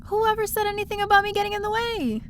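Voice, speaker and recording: A woman's voice: very high voice, fairly even intonation, fast talker, a little hiss or hum. An actress playing a chatty, curious young woman stowaway who narrates and talks through her space adventure in an audio drama. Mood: breezy, insistent. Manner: chatty, breezy, eager. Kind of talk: first-person audio drama narration and dialogue.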